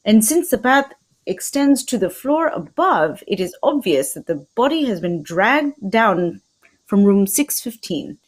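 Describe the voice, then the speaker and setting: A fussy Belgian detective in an old-time radio mystery played by a woman, shrewd and courtly. The voice sits high and moves expressively up and down. Questions and deductions come out formal, deliberate and theatrical. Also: darkish tone.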